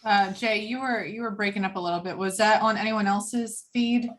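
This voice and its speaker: A woman's voice: medium pitch, steady tone, moderate pace. A woman with a mid-range voice speaks casually on a remote call. She is an actor talking informally between lines of a script reading. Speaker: actor at a table read. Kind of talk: casual chat.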